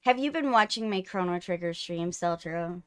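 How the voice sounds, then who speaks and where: A woman's voice: on the low side, lively intonation, moderate pace, fairly bright tone, smooth, dry recording. A woman hosting a livestream in casual chat, teasing her co-host playfully, close on the mic.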